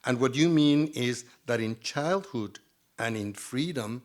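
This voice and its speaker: A man interviewing an author onstage, asking measured questions he reads from notes. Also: mid-range voice, animated. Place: stage before an audience.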